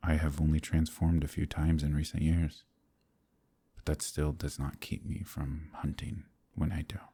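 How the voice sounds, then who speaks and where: A man playing a gentle, troubled werewolf in a romantic fantasy ASMR roleplay, soft-spoken and hesitant, with formal old-fashioned phrasing and a deep, husky voice. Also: little pitch movement, moderate pace, full character voice. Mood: sombre.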